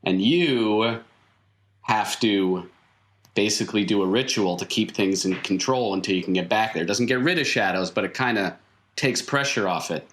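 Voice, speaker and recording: A man playing a weary, mysterious circus veteran in tabletop roleplay, explaining things patiently and haltingly. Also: fairly low pitch, fairly bright tone, natural voice. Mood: explanatory. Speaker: game master. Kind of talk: improvised in-character tabletop roleplay.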